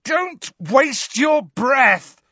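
A woman's voice: high-pitched, big pitch swings, extremely slow, slightly rough, no room sound, dull audio, pitched above the performer's own voice, exaggerated voice. A woman playing a defiant teenage boy wizard from a storybook, taunting and mocking in a raised voice. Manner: shouting, mocking.

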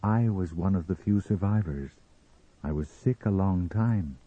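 A man voicing a cold, composed Martian for an audiobook story, speaking in a measured, formal manner. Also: deep voice, warm tone, husky, no echo, lo-fi sound. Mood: calm, grave. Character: calm, cold Martian in blue glass mask. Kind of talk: dramatised audiobook character reading.

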